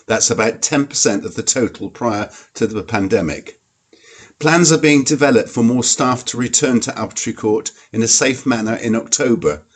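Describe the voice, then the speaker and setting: A man's voice: mid-range voice, moderate pace, breathy edge. A man, a council leader, delivering a formal prepared report in a meeting.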